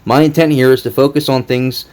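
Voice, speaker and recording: A man's voice: mid-range voice, steady tone, brisk, darkish tone. A man hosting a casual gaming read-through with commentary, speaking in a blunt, exasperated way with plenty of swearing. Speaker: gaming video host.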